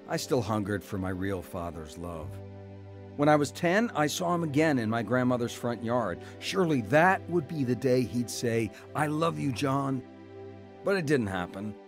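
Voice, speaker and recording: Actor as man recalling hard boyhood, lively intonation. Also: medium pitch, lightly acted. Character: wounded man recalling his troubled farm boyhood. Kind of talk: first-person dramatised testimony, radio drama.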